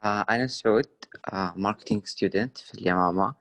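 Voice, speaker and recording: Rapid-fire young Saudi man, dry sound. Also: lowish voice, a bit bright, no echo. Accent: Saudi Arabic. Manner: fast code-switching between Arabic and English, many fillers. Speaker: student guest asking a question. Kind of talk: casual Q&A on a video call. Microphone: video call.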